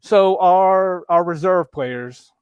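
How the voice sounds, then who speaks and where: A man hosting a casual sports chat show, talking in a relaxed, halting way with many fillers. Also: high voice, clear, resonant tone, no room sound, lo-fi sound. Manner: relaxed, halting, lots of fillers, reads viewer comments aloud. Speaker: podcast host.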